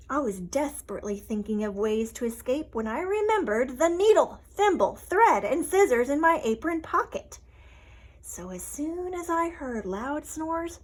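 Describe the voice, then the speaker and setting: Storybook hen, female reader: high, unhurried, expressive, chatty, shaken yet relieved. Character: relieved, chatty mother hen writing a letter, still shaken. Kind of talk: dramatic storybook read-aloud.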